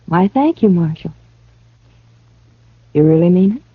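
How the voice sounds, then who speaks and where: A woman's voice: fairly low pitch, lively intonation, a little slow, breathy edge, no room sound. An actress playing a coy, evasive young saloon girl in a radio western. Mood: coy, inviting. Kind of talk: 1950s radio western acting. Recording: tinny narrow-band vintage radio recording.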